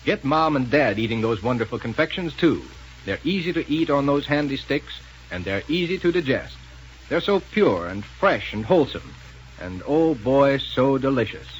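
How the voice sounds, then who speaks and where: A male announcer from 1930s radio, delivering scripted copy in a mid-pitched voice. The old recording carries faint noise. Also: lively intonation, normal speed, poor audio.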